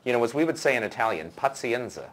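A man's voice: mid-range voice, big pitch swings, fast talker, fairly bright tone. A man in his forties, an interview guest, giving fluent, explanatory analysis with occasional stammered restarts, recorded indoors on a lapel mic. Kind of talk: conversational analysis. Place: indoor room with lamp and curtains.

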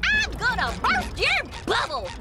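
A feisty cartoon squirrel who fights with karate. The voice is very high and brash, with a folksy Texan drawl and big swings of pitch. Loud game music runs underneath. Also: female voice, huge pitch swings, a little slow, exaggerated voice. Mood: combative. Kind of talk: cartoon video game acting.

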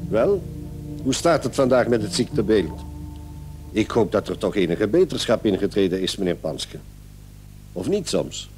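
An actor playing a brisk, authoritative doctor who is patronising and reassuring, in radio drama style. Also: male voice, fairly low pitch, big pitch swings, darkish tone, faint music behind, low-quality recording. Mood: brisk, instructive. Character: brisk, paternal male doctor, dismissive yet reassuring.

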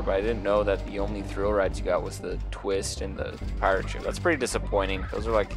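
A young man talks through his gameplay in a deep, quiet voice that sits a little low. He rambles in a relaxed way, thinking aloud and padding with fillers as he goes. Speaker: gameplay video host. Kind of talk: casual gameplay commentary.

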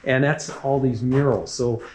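Man in his 40s hosting a business interview, talkative and upbeat, middle-pitched voice, in a large indoor gym. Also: steady tone, measured pace, darkish tone, slight background noise. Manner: upbeat, talkative, summarizing with frequent "right?". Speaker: podcast host. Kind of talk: casual interview on a walking tour.

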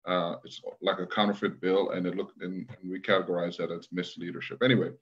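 Guest, middle-aged man, very low voice, measured and reflective, muffled call audio. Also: level tone. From Guyana, raised in Brooklyn. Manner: thoughtful, measured, with restarts. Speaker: guest, leadership trainer. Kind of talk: conversational interview. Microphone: video call.

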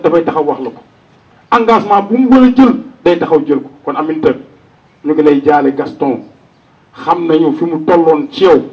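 A man's voice: on the high side, darkish tone, distant mic, low-quality recording. A Senegalese man delivering a public funeral tribute as eulogist, speaking into a microphone outdoors. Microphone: handheld-type microphone on a stand.

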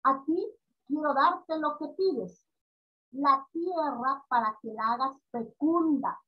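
A woman reading aloud the soft, slow words of a gentle enchanted lake spirit from a storybook. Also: high voice, muffled recording.

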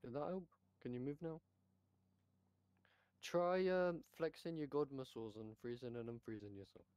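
A man's voice: mid-range voice, moderate pace, darkish tone, no room sound. A man talking casually in the voice chat of an online roleplay game.

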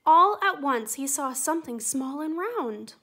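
A woman reading a children's picture book aloud, gentle and expressive. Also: sits high, measured pace. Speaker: storytime reader.

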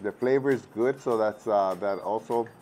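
A man in his thirties with a husky voice from losing it the day before, chatting casually at a home table as he tastes and reviews a snack. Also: lowish voice, moderate pace, a little mellow, faint music behind. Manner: easygoing, thinks aloud with fillers, switches to Spanish with his kids. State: husky, recovering from lost voice. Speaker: food reviewer. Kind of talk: casual taste-test vlog. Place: home room at a table, indoors. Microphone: lapel.

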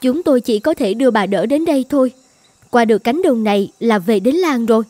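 Mellow, gentle fairy-tale woman. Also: a little high, lively intonation, fairly quick. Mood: gentle, pleased. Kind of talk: animated fairy-tale dubbing.

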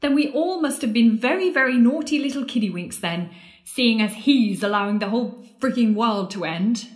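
A woman's voice: on the high side, animated, normal speed, close to an everyday voice. Actress doing wry scared woman at the apocalypse, babbly nervous jokes, audio play, roomy echo. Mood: angry, sarcastic. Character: sardonic, frightened woman confessing at world's end. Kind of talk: audio drama acting. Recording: echoey room.

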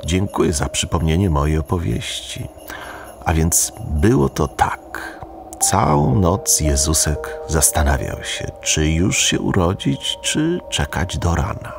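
A deep, gravelly old reindeer forefather acted by a man in a radio play. He tells his tale ponderously, drawing words out, with music clearly behind him. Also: very animated, exaggerated voice. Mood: grandfatherly, unhurried. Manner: slow, ponderous storytelling. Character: ancient male reindeer ancestor telling his tale.

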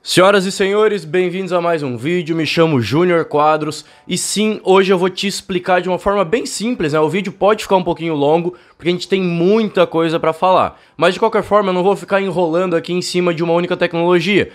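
A man's voice: sits high, faint music behind. Young man with a cold. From Brazil. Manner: fast, chatty, explains with hand gestures. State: has a bad cold. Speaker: cycling channel host. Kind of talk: explainer video to camera. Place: home studio room. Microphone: fluffy-windscreen desk mic, close.

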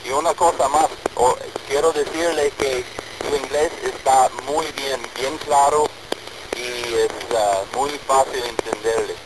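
A man's voice: medium pitch, steady tone, moderate pace. American man over a noisy radio link. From United States. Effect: radio transmission. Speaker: amateur radio operator. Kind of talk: casual chat over ham radio.

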